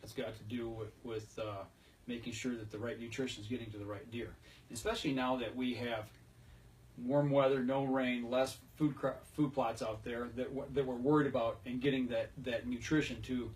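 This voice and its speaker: A man's voice: medium pitch, flat delivery, normal speed, darkish tone. A man hosting a casual hunting talk show in a folksy, conversational way. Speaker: podcast host. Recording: distant mic, noticeable background noise.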